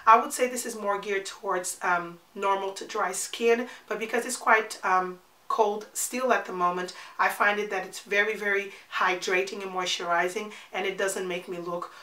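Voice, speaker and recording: A woman's voice: medium pitch, steady tone, normal speed, pushed and bright, roomy sound. A woman in her forties with a congested, nasal voice from a cold, chatting her way through a makeup tutorial and repeating words for emphasis. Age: 40s. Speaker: beauty vlogger.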